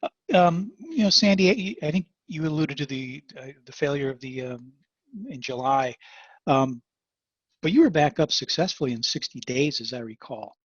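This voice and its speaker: A man's voice: a little high, expressive, normal speed, dry recording. A man hosting an interview, putting questions to his guests in a conversational way. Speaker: interview host. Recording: dull, dry lo-fi connection.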